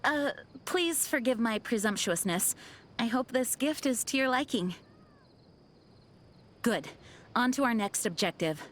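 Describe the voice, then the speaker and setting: A woman voicing an earnest, dutiful young knight commander in a video game, speaking in a formal, measured way. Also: on the high side, animated. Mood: gracious, warm. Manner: formal, measured, solemn diction. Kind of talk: video game character voice lines.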